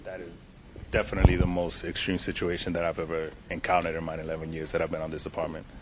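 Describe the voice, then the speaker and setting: A man's voice: deep voice, completely flat delivery, fast, very noisy. A man in his thirties from Puerto Rico, a police sergeant answering press questions in a plain, earnest way.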